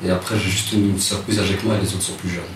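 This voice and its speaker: A man, telling his life story in a relaxed interview, hesitant and soft-spoken with many restarts. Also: deep voice, quick pace. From Rwanda. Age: 30s. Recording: echoey room, distant mic, noisy.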